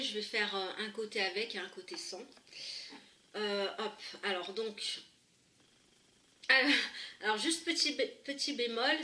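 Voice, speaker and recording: A woman's voice: medium pitch, bright, forceful tone, a little hiss or hum. A woman with a head cold chatting through a makeup tutorial, hesitant and full of fillers and restarts, recorded at home. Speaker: beauty vlogger. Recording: echoey room, camera a bit far.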